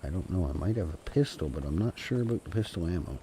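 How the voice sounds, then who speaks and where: A man's voice: sits low, animated, fast talker, mellow, a little hiss or hum. A man streaming a game, muttering to himself with a stuffy nose from a cold. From Canada. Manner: mumbling, talks to himself and the game. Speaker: gaming streamer. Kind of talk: casual solo game commentary.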